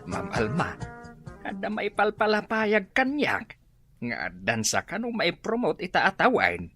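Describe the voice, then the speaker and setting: An actress playing a teasing office coworker in a radio comedy drama. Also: female voice, medium pitch, wildly swooping pitch, a little slow, fairly bright tone, faint music behind. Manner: teasing, lecturing.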